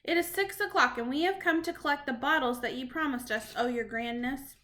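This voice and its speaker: A huddle of meek, fawning old witches addressing their leader, as a woman reading a storybook puts them on. Their voices are timid and pitched high and bright. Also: brisk. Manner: meek, deferential.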